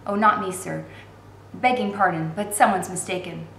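A woman's voice: mid-range voice, steady tone, normal speed, fairly bright tone, natural voice. A woman voicing a wary, polite servant girl with a deferential manner in a storybook reading. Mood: guarded. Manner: deferential, guarded. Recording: roomy echo with faint background noise.

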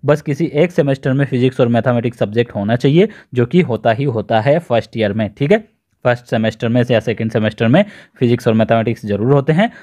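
A man's voice: medium pitch, darkish tone. A man with an Indian accent narrating an explanatory screen-share tutorial in an instructional, step-by-step way, repeating points for emphasis. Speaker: presenter of job notification videos.

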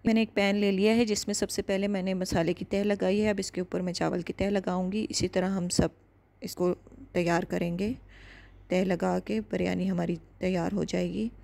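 A woman calmly narrating a step-by-step home cooking recipe as the host of a cooking channel, measured and instructive. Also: fairly low pitch, vocal fry.

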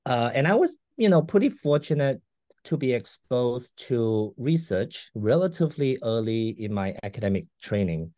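A man's voice: medium pitch, big pitch swings, normal speed, warm tone, no echo, clean studio sound. A man in his forties from Malaysia, whose first language is not English, answering interview questions casually with repeated words and fillers over a video call. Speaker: guest scientist. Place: room at home.

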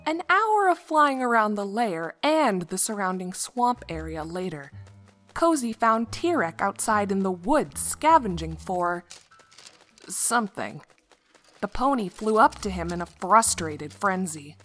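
A woman's voice: medium pitch, sing-song, measured pace, fairly bright tone, faint music behind. A woman narrator reading a scripted story.

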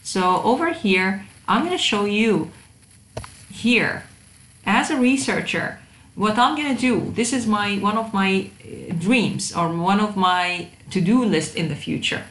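A woman's voice: mid-range voice, measured pace, fairly bright tone. A woman instructor giving an informal lecture to students, addressing them directly, with a hesitant delivery that often repeats words. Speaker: university instructor of digital marketing. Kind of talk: informal online lecture over slides. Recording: roomy echo, faint background noise.

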